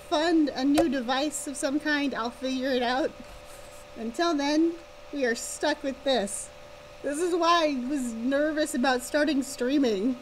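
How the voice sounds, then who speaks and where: Female livestreamer, high voice, casual and wryly exasperated, faint fan buzz on a laptop mic. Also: slight background noise, poor audio. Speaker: live streamer, author. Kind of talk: casual chat with livestream viewers. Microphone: laptop's built-in or connected microphone.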